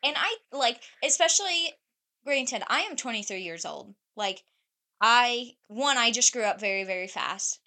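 Blunt, bright-voiced woman in her twenties. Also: a little high, a little slow. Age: 20s. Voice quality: loose, swearing, rambling with fillers. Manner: blunt, animated storytelling. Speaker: podcast co-host. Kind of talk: casual chat.

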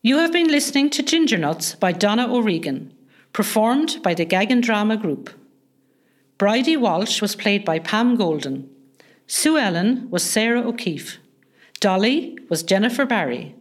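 A woman's voice: medium pitch, animated, moderate pace. A woman announcer reading scripted closing credits for a radio play.

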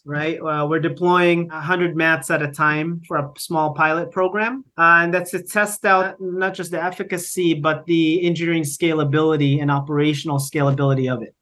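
Fairly high-pitched Filipino-born man explaining. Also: fairly bright tone. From Philippines. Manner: explanatory, filler-heavy with frequent restarts. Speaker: guest, company founder. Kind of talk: conversational interview.

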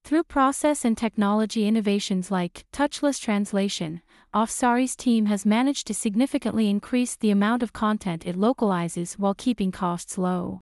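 A woman hosting a podcast, reading a scripted introduction and asking interview questions in a clear, even way. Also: medium pitch, moderate pace, dry recording, clean studio sound. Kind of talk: scripted narration.

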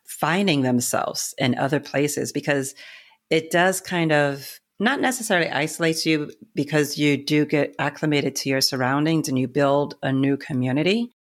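A woman hosting a casual podcast interview, supportive and quick with affirmations. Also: low voice. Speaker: podcast host.

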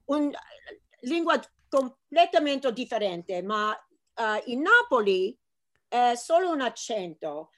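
A middle-aged woman, an author, talking in a lively, chatty way and slipping into bits of Italian. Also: a little high, slow. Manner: animated, chatty, slips into Italian phrases. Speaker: author guest. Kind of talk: casual video chat.

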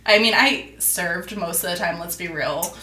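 A woman's voice: fairly low pitch, a little slow, pushed and bright, echoey room. A twenty-six-year-old woman co-hosting a podcast, telling her story in a casual, self-deprecating way with laughs and fillers. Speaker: podcast co-host. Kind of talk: casual chat.

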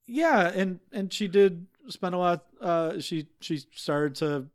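A man playing a halting, flustered expert. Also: high voice, expressive, no room sound, clean studio sound. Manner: halting, full of fillers and restarts. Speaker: guest expert. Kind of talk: improvised comedy podcast.

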